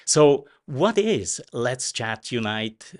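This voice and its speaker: A man from Switzerland, a native Swiss German speaker, hosting a live interview in a relaxed, chatty way with fillers. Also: medium pitch, slow pace. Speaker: show host.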